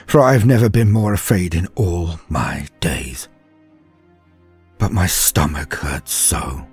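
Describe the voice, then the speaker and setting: A man playing a frightened, haunted far-future soldier, telling his horror story in a dramatic first-person monologue with brooding pauses and shifts from hushed to emphatic. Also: low-pitched, breathy edge, soft background music, exaggerated voice. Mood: fearful. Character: frightened, haunted far-future soldier, former factory worker, recalling terrors.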